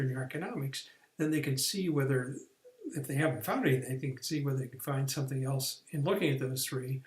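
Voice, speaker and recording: A man's voice: mid-range voice, roomy sound, poor audio. A man explaining a career course in a plain, step-by-step way, with some restarts and fillers. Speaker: career coach. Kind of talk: recorded online training lesson.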